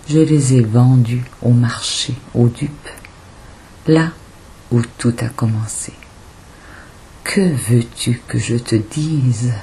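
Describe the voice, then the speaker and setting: A woman with a breathy, husky voice reciting poetry as an audiobook reader, in a solemn, deliberate manner with pauses. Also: extremely low pitch, warm tone, poor audio.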